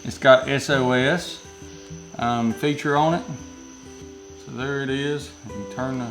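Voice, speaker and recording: A man's voice: mid-range voice, fairly even intonation, a little slow, loud music behind the voice, rough recording. A man with a Southern US accent giving a casual, rambling product review from inside a metal building, often correcting himself mid-sentence. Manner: rambling, self-correcting, folksy.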